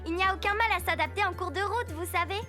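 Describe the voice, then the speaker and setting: A woman voicing an earnest, encouraging young girl superhero who leads her team in an animated cartoon. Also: extremely high pitch, fast talker, music in the background.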